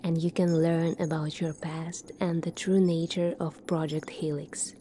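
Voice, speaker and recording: A woman performing an ASMR sci-fi roleplay as a calm, secretive military doctor, speaking softly and deliberately, at times near a whisper. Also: low voice, a little hiss or hum.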